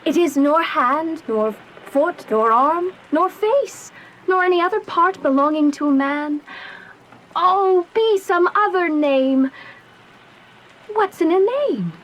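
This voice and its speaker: An actress as a young Shakespearean maiden in love. Her voice is high and youthful, and she takes the verse very slowly. She sounds earnest, yearning and a little anxious, in the manner of romantic radio drama. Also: animated, exaggerated voice, poor audio. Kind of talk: slow dramatic verse acting.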